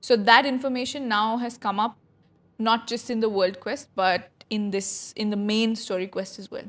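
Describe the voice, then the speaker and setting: A woman's voice: on the high side, fairly even intonation, normal speed, fairly bright tone. A woman streamer giving casual, chatty livestream commentary on a game, informal in her wording.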